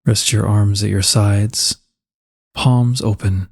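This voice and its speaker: A man leading a slow guided meditation in a calm, soothing and unhurried manner, with a soft husky voice. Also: fairly low pitch.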